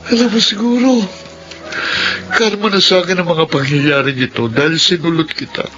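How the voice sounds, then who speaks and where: An actor playing Diego, a contractor full of guilt and remorse, in a radio drama. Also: male voice, on the high side, normal speed, sharp tone. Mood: remorseful. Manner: remorseful, confessional. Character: Diego, guilt-ridden contractor facing a lawsuit. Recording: muffled radio drama audio with music.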